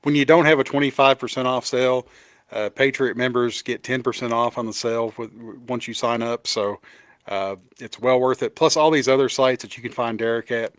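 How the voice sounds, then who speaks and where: A man's voice: mid-range voice, fairly even intonation, brisk, a bit bright, clean tone, no room sound, studio quality. A man with a Kentucky accent co-hosting a casual chat over a video call, laughing often and restarting his sentences. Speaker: podcast co-host.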